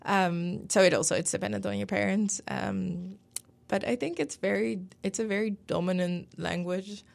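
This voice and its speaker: A woman's voice: mid-range voice, moderate pace, vocal fry. A young Dutch woman, native Dutch speaker, talking as a guest in a casual interview, thoughtful and full of hedges. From Netherlands. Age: young adult. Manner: thoughtful, many fillers and hedges.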